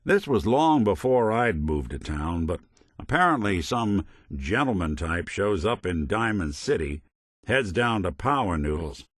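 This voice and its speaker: A man's voice: low-pitched, big pitch swings, measured pace, no room sound, studio quality, exaggerated voice. A male actor playing a world-weary, principled android detective in a video game, with a wry, folksy hardboiled way of talking and old-fashioned slang. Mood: wry storytelling. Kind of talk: video game companion dialogue, noir detective.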